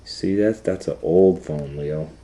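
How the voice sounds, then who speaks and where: Man, storytime reader, very mellow low voice, slow pace, conversational, explains simply to children. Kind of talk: animated children's storybook reading with explanatory asides.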